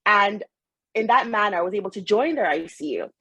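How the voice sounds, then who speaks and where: A woman's voice: mid-range voice, lively intonation, brisk, pushed and bright, breathy edge, no echo. A middle-aged woman from Saint Lucia, a nurse and hospital administrator, giving a friendly, conversational talk on a video call.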